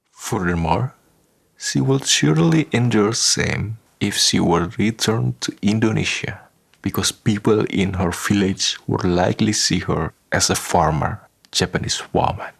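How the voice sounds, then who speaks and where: Deep, slow, gravelly male narrator. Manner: measured storytelling. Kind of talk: scripted radio drama narration. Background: faint music.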